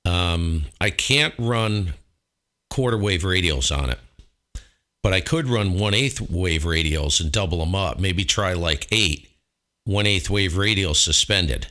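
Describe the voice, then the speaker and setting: A man's voice: low voice, lively intonation, slow, sharp tone. A man talking casually as an amateur radio operator, thinking aloud as he goes. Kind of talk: casual ham radio chat.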